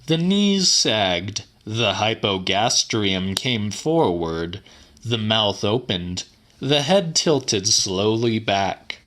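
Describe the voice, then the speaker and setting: A man reading a literary audiobook in a theatrical, deliberate way, with some drama in the narration. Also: lowish voice, bright, forceful tone. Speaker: audiobook reader.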